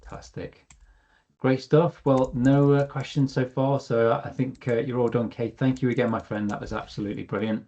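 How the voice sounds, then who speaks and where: Upbeat host, mellow low voice. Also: male voice, fairly even intonation, moderate pace, lo-fi sound. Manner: upbeat, effusive praise, fillers. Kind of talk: hosting a virtual user group. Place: online video call.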